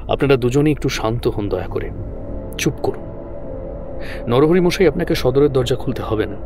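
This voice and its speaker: A man narrating a horror audio story in the first person with measured, suspenseful, dramatic delivery. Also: mid-range voice. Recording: music under the voice. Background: background music.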